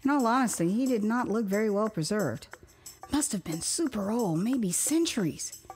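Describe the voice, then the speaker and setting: An older American woman reads her memoir like an audio drama, with theatrical expression and wry, amused asides. Her voice sits at an average pitch for a woman. Music plays faintly behind the narration. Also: expressive, moderate pace, darkish tone. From Houston, Texas, United States. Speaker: author reading her own memoir. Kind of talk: dramatized first-person storytelling narration.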